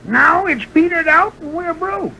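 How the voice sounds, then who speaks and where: An actor playing a feisty, broke old prospector with a Western drawl and a cracked voice in an old radio western. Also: male voice, extremely high pitch, animated, measured pace, gravelly, bright, forceful tone, full character voice, poor audio. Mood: plaintive. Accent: American Western drawl. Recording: old hissy radio recording.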